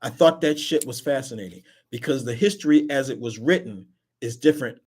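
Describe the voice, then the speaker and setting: A man's voice: mid-range voice, a little fast, a little mellow, breathy edge. A man hosting an online talk radio show, speaking emphatically in casual chat and often repeating words as he pushes a point. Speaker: host.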